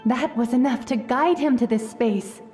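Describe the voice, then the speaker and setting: A woman voicing a gentle, wise shaman in a video game, speaking in a calm, measured, explanatory way. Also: on the high side, natural voice. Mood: serene. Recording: prominent music behind the voice.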